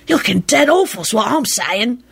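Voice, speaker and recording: A drunk young man slurs his way through every line, loose and cheerful, as a woman reading a story aloud acts him out. His voice sits fairly high and wanders in pitch. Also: big pitch swings, moderate pace, gravelly, a bit bright, breathy edge, full character voice. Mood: tipsy. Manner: slurred, drunken. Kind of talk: storybook character voice.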